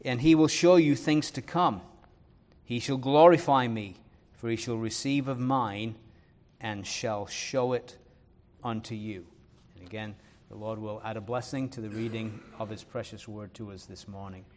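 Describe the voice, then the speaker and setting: A man preaching a sermon in an English accent from Leeds, mixed with Irish and American traces. He reads scripture slowly and with weight, and often pauses on "uh" and "um". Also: lowish voice, a little mellow. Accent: Leeds English, touched by Irish and American. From northern England. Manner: earnest, fills pauses with "uh" and "um".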